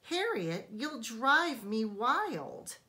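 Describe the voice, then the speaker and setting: A woman voicing an exasperated but loving storybook mother, speaking in a drawn-out, restrained way. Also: fairly high pitch, big pitch swings, bright, forceful tone, voice pushed up, heavily acted. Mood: strained patience. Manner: slow, drawn-out, restrained scolding with endearments.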